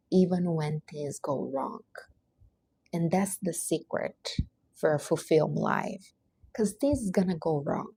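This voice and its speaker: A woman's voice: fairly low pitch, creaky, a little mellow, husky, no echo. A woman with a Portuguese accent, Portuguese her first language, giving an earnest, emphatic motivational talk while hosting a livestream. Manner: earnest, emphatic, non-native grammar. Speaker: livestream host.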